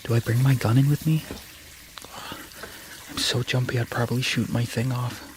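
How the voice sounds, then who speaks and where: An actor playing a jumpy, self-mocking young graduate student in a radio drama, husky and nervously muttering. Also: male voice, mid-range voice, level tone, moderate pace, background noise, close to an everyday voice. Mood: nervously wry. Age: young adult. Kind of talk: radio drama acting, interior monologue.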